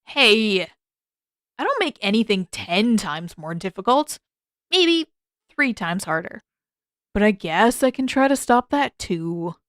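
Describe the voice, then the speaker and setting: A cocky teenage boy acted by a woman banters in an audiobook. He is brash, animated and sarcastic, quick to tease and protective underneath. The recording is dry and close. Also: mid-range voice, no echo. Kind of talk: audiobook character voice.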